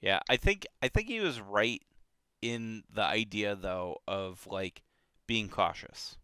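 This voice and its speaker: Book club co-host, male; bright, unhurried, hesitant casual talk with lots of restarts. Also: on the low side, smooth, dry recording, studio quality. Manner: relaxed, hesitant, many fillers and restarts.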